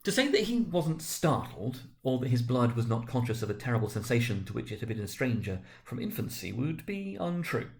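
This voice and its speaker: A man giving a dramatic reading of a classic novel, expressive, swinging between loud emphasis and hushed, slowed passages on ominous words. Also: medium pitch. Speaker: school director reading aloud.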